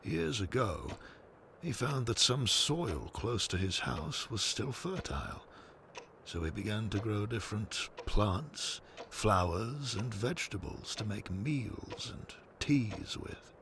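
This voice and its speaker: Low gravelly guy narrating a story real slow, noisy background ambience. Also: big pitch swings. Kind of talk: scripted storybook narration for audio drama.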